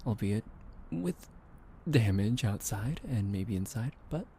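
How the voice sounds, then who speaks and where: A young man in a soft ASMR roleplay, gently soothing and teasing the listener with soft laughs, hesitant fillers and the odd whispered aside. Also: fairly low pitch, expressive, slow, a little hiss or hum.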